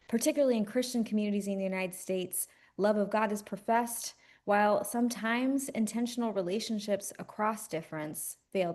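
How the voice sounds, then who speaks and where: A woman moderating an online faith panel, first reading a prepared introduction in a measured, earnest way. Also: medium pitch, a little mellow. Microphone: video call.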